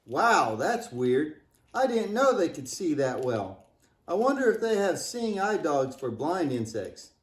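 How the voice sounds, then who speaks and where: A man's voice: fairly high pitch, big pitch swings, unhurried. A man playing a cheeky, wisecracking, imaginative boy puppet in a scripted church puppet show, joking and exclaiming playfully. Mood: curious, joking. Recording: echoey room.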